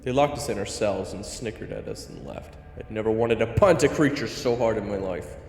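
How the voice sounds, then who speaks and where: A man's voice: on the low side, a little fast, gravelly, a little mellow, slight background noise. A man playing a loyal, hot-headed swordsman who narrates a fantasy story in the first person, with dramatic emotion. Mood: grim narration. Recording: echoey, reverberant, music underneath.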